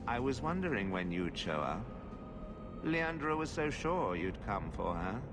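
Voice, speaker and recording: Slow, softly menacing deranged necromancer. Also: male voice, on the low side, sing-song. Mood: creepy calm. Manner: theatrical, slow, savoring each phrase. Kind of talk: video game voice acting. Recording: loud music behind the voice.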